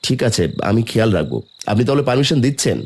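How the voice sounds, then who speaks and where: A man's voice: medium pitch, very animated, fast talker, a little mellow. A man narrating a horror story in the first person as a somber young man, brooding and suspenseful. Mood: polite, pleading. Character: somber young man recounting an eerie burial.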